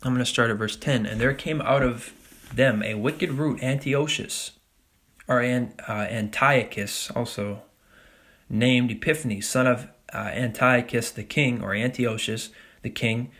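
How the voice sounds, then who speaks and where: Man, religious teacher, casual sermon, slow deliberate scripture reading, conversational asides. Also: medium pitch, fairly bright tone. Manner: casual preaching with frequent "man" and "all right?"; reads scripture slowly and deliberately. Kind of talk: Bible study sermon.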